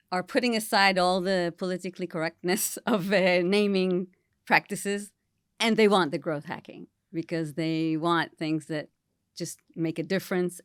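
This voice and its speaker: Smooth-voiced woman hosting a podcast. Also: lowish voice, dry recording, studio quality. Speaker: podcast host. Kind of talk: podcast interview.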